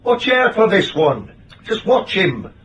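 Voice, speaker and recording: A blunt British detective boss in a radio play, with a highish, swooping voice. He wisecracks and lectures in clipped colloquial bursts, heard a little way from the microphone. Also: big pitch swings, takes it slowly, a bit bright, recorded from a distance, lo-fi sound, a little hiss or hum, full character voice. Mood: wry, warning. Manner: colloquial, emphatic, broken-off phrases. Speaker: actor. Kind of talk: radio drama acting.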